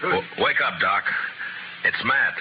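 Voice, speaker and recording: A man's voice: on the low side, lively intonation, slow, over music. An American man playing a tough, dry frontier marshal in an old-time radio western, speaking tersely and with authority. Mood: calm, grim. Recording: muffled, very noisy old radio recording.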